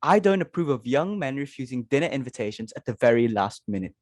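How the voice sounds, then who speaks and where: A woman's voice: very deep voice, very animated, fast talker, darkish tone, dry recording, pitched below the performer's own voice, exaggerated voice. Woman acting fussy, swooping society hostess. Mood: brisk, imperious. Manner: exclamatory, fretful, commanding. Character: fussy, social-climbing society hostess and mother.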